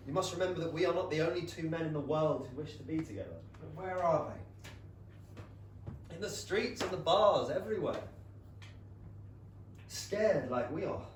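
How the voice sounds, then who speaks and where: Anxious Regency gentleman, very echoey stage. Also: a little high, expressive, unhurried, long pauses. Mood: earnest, yearning. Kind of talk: staged theatre reading. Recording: very echoey theatre, distant noisy mic.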